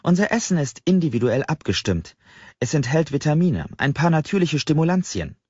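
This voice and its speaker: Man voicing a keen young woman programmer, raised pitch. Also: big pitch swings, fairly quick, darkish tone, slightly rough. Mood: brisk, friendly. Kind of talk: audiobook dialogue. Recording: slightly dull, roomy sound.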